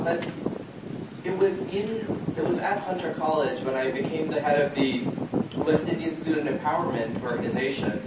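A man from Guyana speaking informally as a panelist at a community forum, addressing the audience directly in a conversational way. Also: medium pitch. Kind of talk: informal public panel speech. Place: large echoey indoor event room. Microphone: distant microphone. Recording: very echoey, noisy, muffled, distant room recording.

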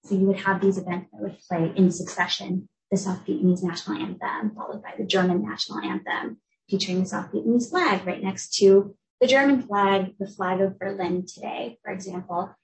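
Young woman, academic lecture, measured and clear, roomy echo, mic set back. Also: medium pitch, steady tone. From United States. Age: young adult. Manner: clear, measured explanatory delivery. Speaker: researcher giving a talk. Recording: echoey room, voice from a distance.

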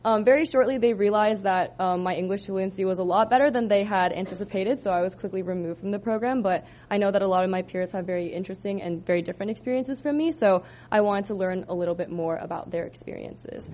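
A young woman from Southern California presents her senior thesis to a room of listeners. She talks in a loose, casual way, filling pauses with ums and likes. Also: medium pitch, darkish tone, slight background noise. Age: 20s. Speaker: student presenter. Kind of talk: thesis presentation to a live audience.

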